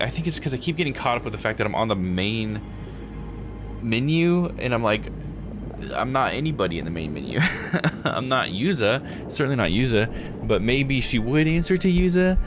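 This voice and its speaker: A man giving casual live commentary as he plays a game, thinking aloud in disbelief. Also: on the low side. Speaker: game streamer. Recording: music behind the voice. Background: game music.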